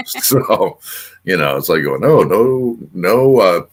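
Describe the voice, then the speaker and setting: A man's voice: low voice, big pitch swings, takes it slowly, slightly rough. An older man hosting a casual radio show, rambling and full of filler words and restarts. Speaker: host.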